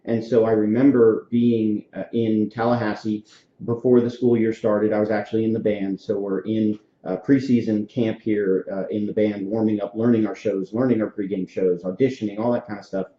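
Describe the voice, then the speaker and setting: Low-voiced Florida man hosting a podcast. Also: level tone, normal speed, dark tone, a little hiss or hum. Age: 40s. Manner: friendly, storytelling, frequent fillers. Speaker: podcast host. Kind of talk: casual interview chat. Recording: echoey room, dull lo-fi sound.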